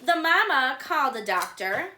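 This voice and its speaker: A woman reading a picture book aloud for young children as a storytime host, with simple, deliberate, singsong phrasing. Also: high voice, big pitch swings, pushed and bright, slight background noise. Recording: echoey room.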